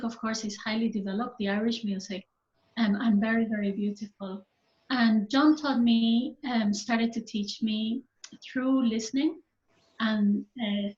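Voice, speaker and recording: A woman from Peru, a musician and visual artist, answering in a measured, thoughtful way with small hesitations. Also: on the high side. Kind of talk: reflective interview answer. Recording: roomy, dull recording.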